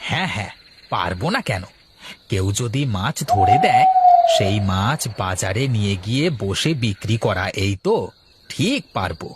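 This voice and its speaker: A man acts out a humble village fish seller for a cartoon. The delivery is eager and folksy, with extremely lively rises and falls in pitch. A little noise lies behind the voice. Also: normal speed. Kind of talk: cartoon voice acting.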